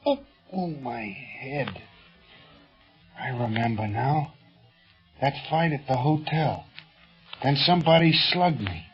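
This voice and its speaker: An actor playing a scrappy, hot-headed, folksy pilot sidekick, blustering in slangy exclamations in 1930s radio serial style. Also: male voice, mid-range voice, animated, slow pace, pauses often, soft background music. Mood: groggy, bewildered. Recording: muffled 1930s radio recording.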